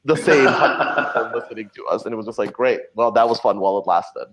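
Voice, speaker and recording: A man's voice: fairly low pitch, quick pace, a bit bright, a little hiss or hum, poor audio. A man speaking casually as an interview guest, explaining and elaborating as he tells stories. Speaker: podcast creator, interview guest. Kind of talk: casual interview chat, storytelling.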